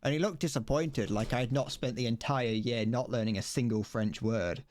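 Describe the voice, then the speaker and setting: Young guy chatting while he builds a kit, rambly, keeps tripping over his words, mid pitch. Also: moderate pace, darkish tone. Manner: rambling, self-correcting, stumbles over words. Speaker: streamer. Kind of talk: casual livestream chat while building a model kit.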